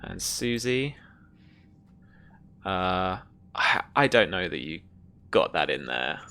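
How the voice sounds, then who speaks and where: A man's voice: sits low, sing-song, slow, pushed and bright. A man running a tabletop game, talking casually to his players as game master.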